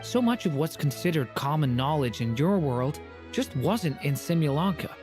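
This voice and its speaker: A woman's voice: sits low, lively intonation, moderate pace, over music. A woman playing an earnest, naive young dragon child in a fantasy game, speaking in a thoughtful, wondering way.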